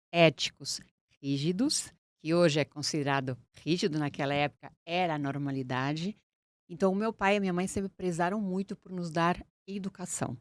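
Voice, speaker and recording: Earnest woman, lowish voice. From Portugal. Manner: earnest, deliberate, reflective. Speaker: guest, criminal lawyer. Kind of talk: interview conversation.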